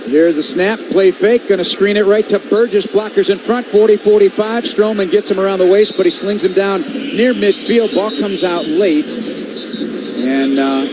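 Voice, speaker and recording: A man's voice: high-pitched, normal speed, noisy background. An American man calling football play-by-play live on the radio. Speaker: play-by-play announcer.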